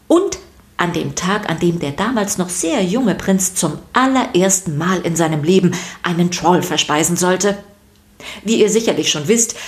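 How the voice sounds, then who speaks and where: Woman, lowish pitch, scripted children's podcast narration, expressive storyteller.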